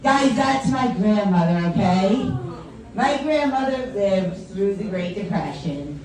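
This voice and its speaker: A woman's voice: medium pitch, animated, a little slow, a little mellow, far from the mic. A female stand-up comedian from the tri-state area with a voice that sounds older than she is, teasing the crowd in a chatty, self-mocking way. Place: comedy club or venue. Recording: echoey club, mic from afar, audience noise. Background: audience laughing and chatting.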